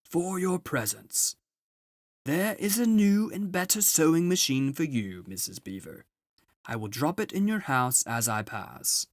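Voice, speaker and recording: A man voicing a big, solemn, kindly old gift-giver in a storybook, with slow, booming, grand proclamations. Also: big pitch swings, dry recording, clean studio sound. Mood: grand, glad.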